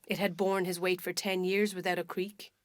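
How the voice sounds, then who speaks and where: A woman with an Irish accent narrating a literary short story in a measured, expressive storytelling manner. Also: fairly low pitch, no room sound, clean studio sound. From Ireland. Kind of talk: scripted dramatic literary narration.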